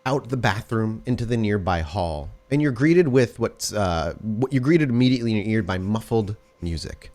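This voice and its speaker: A man narrating a tabletop role-playing game as storyteller, descriptive and deliberate, feeling his way through the scene. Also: fairly low pitch, lively intonation, a little mellow. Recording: music behind the voice. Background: music bed.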